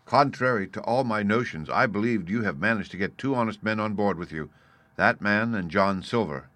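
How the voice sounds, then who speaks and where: Male narrator doing a calm clever doctor guy, polite and measured, storybook dialogue, dry sound. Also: lowish voice, no echo, natural voice. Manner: measured, courteous, reasoning. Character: composed, shrewd gentleman doctor, a man. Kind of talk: audiobook character voice.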